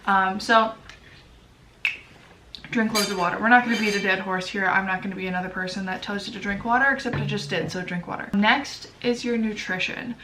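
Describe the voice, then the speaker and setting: A woman's voice: mid-range voice, steady tone, brisk, noisy background. Congested young woman vlogging. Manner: chatty, self-deprecating, many fillers. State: congested, sniffly. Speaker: lifestyle vlogger. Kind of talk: casual chat to camera. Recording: echoey room, voice a bit far from mic.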